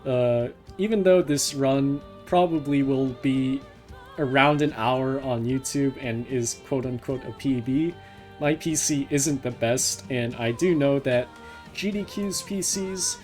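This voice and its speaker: Casual male speedrun commentator, mid-pitched and unhurried, talking through each move over soft game music. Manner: explains each step as they play. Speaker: speedrunner, live streamer. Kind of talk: live gameplay commentary, casual.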